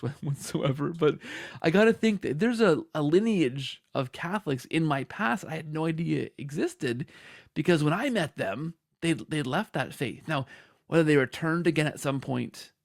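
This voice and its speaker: Male podcast host, lighter pitch with creaky edges, animated conversational storytelling. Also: no echo, clean studio sound. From Canada. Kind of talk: conversational interview.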